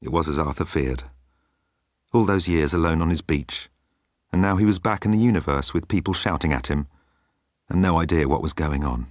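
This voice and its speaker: A man's voice: very deep voice, moderate pace, pauses often, dry recording, studio quality. A man reading comic science fiction as a scripted audiobook narration.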